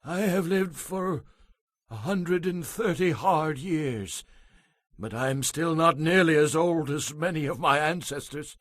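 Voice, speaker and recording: A man's voice: a little high, unhurried, fairly bright tone, no room sound, studio quality. Man playing a weary, aged Hebrew patriarch. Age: older. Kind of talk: dramatized scripture reading.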